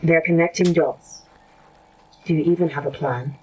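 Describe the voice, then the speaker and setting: A woman's voice: deep voice, normal speed, noisy background, lightly acted. A woman playing a blunt, uneasy artificial intelligence in a sci-fi horror audio drama, challenging and accusatory. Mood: accusing. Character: anxious, blunt artificial intelligence fearing replacement.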